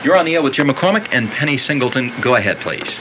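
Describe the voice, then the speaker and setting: A man's voice: mid-range voice, very animated, quick pace. A man hosting a live call-in radio interview, putting questions to his guest. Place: radio show on air. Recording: very noisy cassette of radio broadcast.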